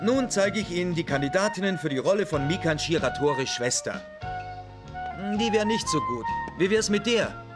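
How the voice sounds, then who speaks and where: High-pitched, officious studio man. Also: lively intonation, normal speed, loud music behind the voice. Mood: businesslike. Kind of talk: animated series dub acting.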